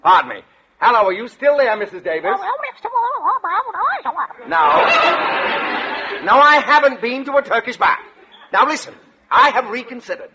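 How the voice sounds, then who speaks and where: A man's voice: very high voice, animated, heavy background noise. An American man in an old radio comedy, playing an irascible, pompous middle-aged school principal who blusters and barks. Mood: blustering, indignant. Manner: pompous, blustering, quick to bark. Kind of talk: 1940s-50s American radio comedy acting. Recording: dull old radio broadcast recording.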